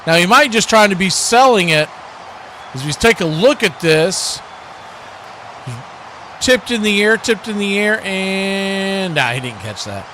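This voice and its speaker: An American man calling live football play-by-play in an excitable, colloquial radio style, repeating phrases as the action builds. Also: high-pitched, measured pace, long pauses. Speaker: play-by-play sports announcer. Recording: faint background noise.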